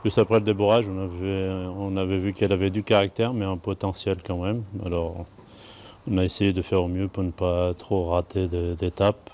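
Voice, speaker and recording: A man from Portugal, a native Portuguese speaker working as a horse trainer, giving a plain, measured interview answer. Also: sits low, little pitch movement, darkish tone. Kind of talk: interview reply.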